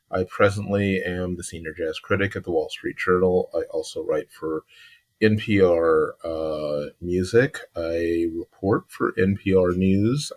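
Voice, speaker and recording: A man in his sixties from Chicago with a Chicago accent, a podcast guest telling his story in an unhurried way and often pausing to find words. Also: low voice, darkish tone. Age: 60s. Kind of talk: relaxed interview storytelling.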